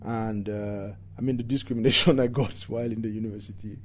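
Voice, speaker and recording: A man from Cameroon with a Cameroonian accent gives earnest, halting interview answers, often repeating words for emphasis. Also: on the low side, steady tone, slight background noise. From English-speaking Cameroon. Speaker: environmental activist and interviewee.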